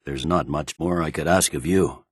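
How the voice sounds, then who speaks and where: An actor voicing a stern, battle-hardened Imperial general in a fantasy video game, with a curt and commanding manner. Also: male voice, rumbling low, normal speed, husky, no echo, studio quality. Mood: grave, measured. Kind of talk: video game character dialogue.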